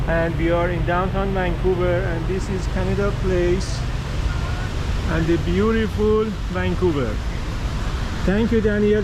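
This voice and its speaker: A man interviewing a guest outdoors in a casual way, encouraging him with short interjections. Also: high voice. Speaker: interviewer, association member. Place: outdoors in a city. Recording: noisy outdoor traffic.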